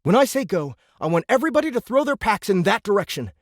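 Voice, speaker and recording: An earnest teenage boy soldier, commanding his squad in an audiobook drama. The voice is high and animated, with a dry studio sound. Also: quick pace, no room sound. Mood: urgent, commanding.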